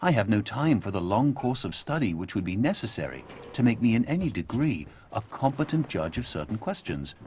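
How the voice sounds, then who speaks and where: A man reading essay quotations from a script in a measured, articulate manner. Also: lowish voice, very animated, a little mellow, slight background noise.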